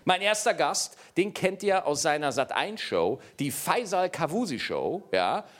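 Excitable high-voiced male comedy host. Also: unhurried. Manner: joking, hypes up the guest. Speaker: show host. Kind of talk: introducing a guest in a comedy show.

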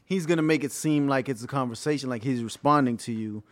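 A man's voice: medium pitch, quick pace. A young man chatting casually, eager and playful, setting up a game among friends. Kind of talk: casual chat.

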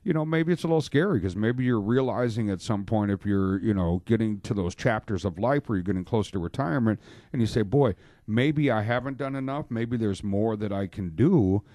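Male radio host, dark low-ish voice, chatty and casual, dry studio mic. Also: brisk, no echo. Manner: chatty, joking, many fillers. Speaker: radio show host, financial planner. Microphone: studio microphone.

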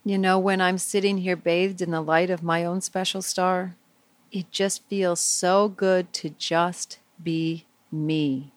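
A woman leading a guided visualization for young children, speaking in simple, soothing phrases with gentle pauses. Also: lowish voice, fairly even intonation, no room sound.

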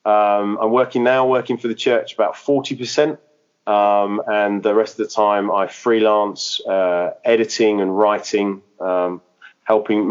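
A man's voice: lowish voice, lo-fi sound. A man who planted a church, speaking in a podcast interview, hesitant and full of fillers and restarts. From England.

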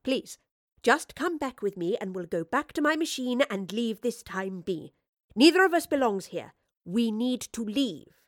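A woman playing an earnest, urgent female professor and time traveller in an audio drama, speaking intensely in halting phrases. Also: medium pitch, animated, no echo, studio quality. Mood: desperate pleading.

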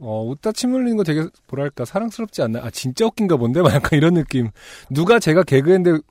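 A man's voice: on the high side, very animated, fast talker, dark tone, no echo, studio quality. A man co-hosting a casual talk podcast, reading a listener's letter aloud with laughing asides.

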